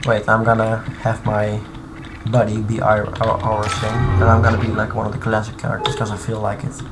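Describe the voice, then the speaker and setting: A man streaming live from his computer, talking through his modding in a halting, thinking-aloud way full of restarts. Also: lowish voice, monotone, loud music behind the voice. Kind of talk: casual live-stream commentary while modding. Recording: clear background noise and typing.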